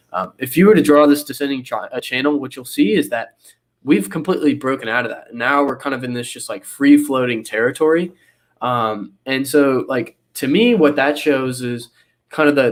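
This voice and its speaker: A young man with a cold and a nasal voice, explaining charts in a casual interview over a video call, full of fillers and restarts. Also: mid-range voice. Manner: rambling with many fillers and restarts. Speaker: guest analyst.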